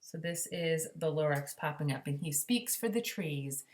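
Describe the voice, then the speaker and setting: Low-pitched woman reading to children. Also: expressive, moderate pace, a little mellow. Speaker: storybook reader, teacher explaining to children. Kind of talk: children's read-aloud with explanatory asides.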